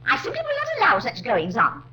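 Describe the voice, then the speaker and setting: High, swooping, indignant landlady. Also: wildly swooping pitch, sharp tone, exaggerated voice. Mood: indignant, firm. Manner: brisk, scolding. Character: prim, indignant Victorian landlady, blunt and unyielding. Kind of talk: 1950s radio drama acting. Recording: noisy old radio recording.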